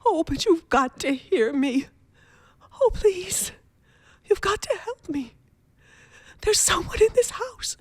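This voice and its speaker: Radio thriller role: panicky, pleading wife, frantic and stammering, very high, dry close sound. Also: female voice, sing-song, slow, long pauses, no echo, exaggerated voice. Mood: fearful, hushed. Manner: breathless, frantic, stammering, whispering to shouting. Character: terrified, pleading invalid wife alone at night, hysterical.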